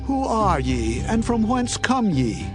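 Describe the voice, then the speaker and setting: A stern, commanding ancient war leader, performed by a man in a scripture drama. He declaims in a high, authoritative voice with music playing clearly behind him. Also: very animated, takes it slowly, exaggerated voice. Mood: suspicious, demanding. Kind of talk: dramatized Bible reading.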